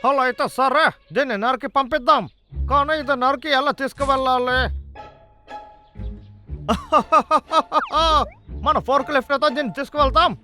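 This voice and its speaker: A man voicing a scheming, excitable cartoon logger who grumbles and boasts to himself in an exaggerated way. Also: very high-pitched, measured pace, long pauses, faint music behind, full character voice. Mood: gloating, eager. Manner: exaggerated, talks to himself, chuckling. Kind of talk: cartoon dub acting.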